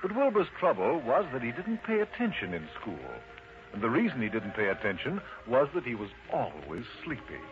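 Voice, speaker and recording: A man's voice: wildly swooping pitch, fairly quick. A man narrating a scripted children's story as a storyteller, with theatrical, emphatic phrasing. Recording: dull, noisy low-fidelity recording.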